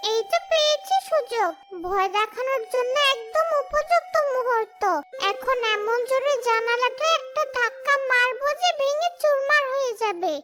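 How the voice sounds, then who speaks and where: A woman's voice: extremely high pitch, normal speed. A woman voicing a grumpy, forgetful old female ghost who mutters schemes to herself in a cartoon, with music behind her. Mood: gleeful scheming. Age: older. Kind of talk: cartoon horror-comedy acting.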